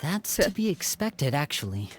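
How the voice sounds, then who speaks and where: A soft-spoken young magician. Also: male voice, fairly high pitch, sing-song, soft background music. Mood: calm. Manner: gentle, measured storytelling.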